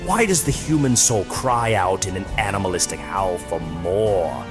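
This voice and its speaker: A man's voice: sits low, animated, moderate pace, music in the background. A man playing a nefarious, wizened space count in a radio serial, with grandiose, menacing theatrical delivery. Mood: grandiose, bitter. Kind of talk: comedic radio serial villain acting.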